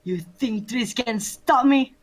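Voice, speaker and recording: A woman voicing a furious, defiant female pony villain, shouting her threats theatrically. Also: fairly high pitch, slow, slightly rough. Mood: outraged.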